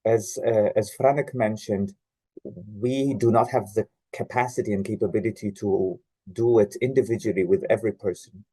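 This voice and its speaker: A man from Israel, a genealogy researcher, giving an online presentation with many pauses and fillers. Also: on the low side, fairly even intonation, mellow, breathy edge, no room sound, rough recording. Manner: hesitant, many "uh" fillers and restarts. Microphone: video call.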